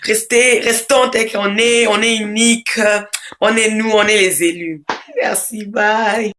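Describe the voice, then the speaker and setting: A woman with a cold and a hoarse voice, leaving a gushing, casual phone voice message full of fillers and repeated words. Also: medium pitch, fairly even intonation, takes it slowly, a bit bright, slight background noise. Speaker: group member.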